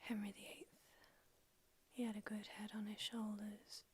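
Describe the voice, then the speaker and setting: A woman whispering in an ASMR roleplay as an ancient, aloof female vampire doctor, unhurried and drily haughty. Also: medium pitch, little pitch movement, a little mellow, husky. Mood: languid haughty. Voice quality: whispered throughout. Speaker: ASMR creator.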